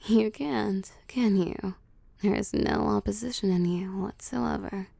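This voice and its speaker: Woman playing a slow, creaky-voiced seductive vampire mistress. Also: mid-range voice, no echo. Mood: possessive, teasing. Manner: soft cooing, teasing, often dropping to a whisper. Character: seductive, possessive female vampire CEO pampering her prey. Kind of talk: intimate roleplay audio, ASMR. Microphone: close, dry microphone.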